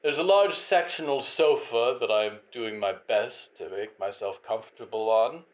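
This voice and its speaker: A man's voice: on the low side, normal speed, lightly acted. A man voicing a vain, fallen corporate diva, a woman who rambles theatrically in an audio drama monologue. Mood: breezy.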